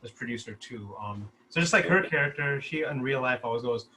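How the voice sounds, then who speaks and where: A man's voice: medium pitch, animated, a little fast, pushed and bright, slight background noise, poor audio. A man talking casually in a group discussion as a filmmaker, explaining his own work. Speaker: filmmaker discussing his short script at a table read.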